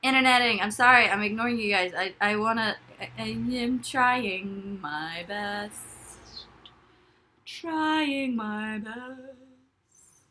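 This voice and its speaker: Young woman, bright voice, rambling giggly live-stream chat from her bed. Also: on the high side, slow pace, a little hiss or hum. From Ireland. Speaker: livestreamer. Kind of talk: casual live-stream chat, reading comments. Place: bedroom, in bed.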